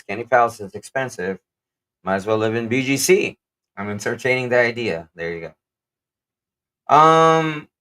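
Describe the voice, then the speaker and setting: A man's voice: medium pitch, a bit bright. A man with a Kapampangan accent, co-hosting a casual livestream and reading viewer comments aloud in a rambling way. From Philippines. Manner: rambling, lots of "um" and "you know what I'm saying", chuckles. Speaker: livestream co-host.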